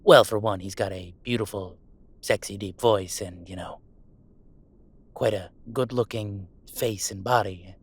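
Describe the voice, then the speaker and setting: A man's voice: lowish voice, a little slow, breathy edge. A man voicing a playful, self-deprecating werewolf streamer in a one-sided roleplay audio drama, full of teasing banter and dramatic sarcasm. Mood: self-deprecating, boastful. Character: wisecracking young male werewolf streamer, affectionate and self-deprecating.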